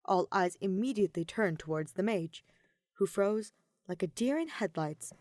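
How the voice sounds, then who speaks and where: A woman's voice: mid-range voice, expressive, normal speed, darkish tone, no room sound, studio quality. A woman reading fan fiction aloud as a scripted narration. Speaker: podfic narrator.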